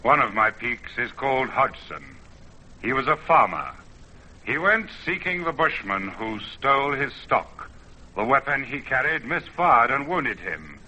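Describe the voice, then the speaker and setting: A man's voice: medium pitch, very animated, measured pace, bright, forceful tone, full character voice. A man playing an ancient, proud mountain spirit, speaking solemnly and theatrically in old-time radio drama. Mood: grave. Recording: dull vintage radio recording, music behind.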